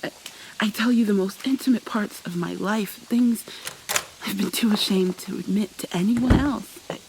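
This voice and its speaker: A woman playing a possessive yandere captor in an ASMR roleplay, tender and menacing, coaxing with pet names in a soft, breathy voice. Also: mid-range voice, normal speed, background noise, lightly acted. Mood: hurt, indignant.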